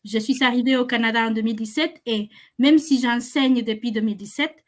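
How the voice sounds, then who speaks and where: A woman teacher whose first language is not French talks on a video call panel. Her voice sits a bit high, and she hesitates often as she searches for words. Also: fairly even intonation, a little fast. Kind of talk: unscripted panel discussion.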